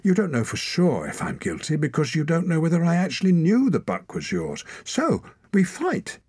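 A cornered, defiant boy, pitched high and loud, pressing his case in breathless bursts, as a male narrator puts him on. The voice is husky and dry, close to the mic. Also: sing-song, slow, dry recording, full character voice. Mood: desperate. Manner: pleading, insistent, breathless under threat. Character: wild young boy of the forest, defiant and desperate.

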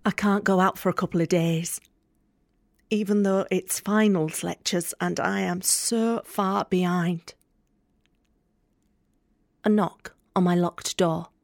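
An actress playing a young student, guarded, sardonic and candid, in an intimate monologue for an audio play. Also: female voice, lowish voice, steady tone, slow pace, gravelly, pauses often, no echo, clean studio sound. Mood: anxious, withdrawn. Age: young adult. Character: guarded, sharp-tongued young female student, hurt but hopeful.

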